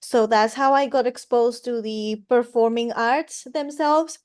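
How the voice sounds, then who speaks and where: A woman's voice: on the high side, a little slow, darkish tone, clean tone. A woman from Peru speaking conversationally as an interview guest. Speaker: podcast guest, singer and harpist.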